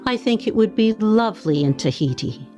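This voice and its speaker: Actress playing a demure, contented middle-aged wife, expressive voice. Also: female voice, medium pitch, normal speed, dull audio, faint music behind, natural voice. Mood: wistful, matter-of-fact. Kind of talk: radio play acting.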